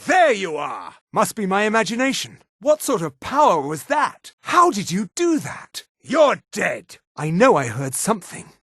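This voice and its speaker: Haughty high elf, very animated. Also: male voice, measured pace, hardly any pauses, breathy edge, no room sound, studio quality, exaggerated voice. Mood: wary, searching. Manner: haughty, clipped, theatrical exclamations. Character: haughty male high elf townsman of a fantasy world. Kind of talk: video game character barks.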